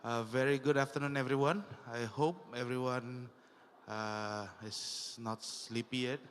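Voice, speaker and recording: A man giving a corporate talk, measured and pausing often on "uh". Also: medium pitch, fairly even intonation, slight background noise. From Indonesia. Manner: measured, with frequent "uh" pauses. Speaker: conference speaker. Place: large exhibition hall. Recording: long hall reverb.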